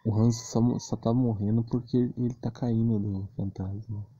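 A man's voice: fairly low pitch, flat delivery, moderate pace, mellow. A Brazilian man giving casual gameplay commentary in short, slangy remarks as he plays. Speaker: gamer commentating own match. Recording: muffled lo-fi mic with clear noise.

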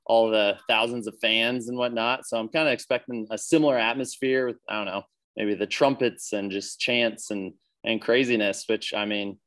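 A man's voice: on the low side, fairly even intonation, moderate pace, a bit bright. A young American man, a baseball player, chatting easily as a podcast guest over a video call, with plenty of ums. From United States. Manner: easygoing, chatty, many fillers. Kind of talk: casual interview on a video call.

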